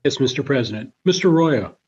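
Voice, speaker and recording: Husky man reading the roll call. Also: medium pitch, lively intonation, a little fast, darkish tone. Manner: formal, reads items aloud. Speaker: council member calling the roll and reading items. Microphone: video call. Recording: slightly muffled video call audio.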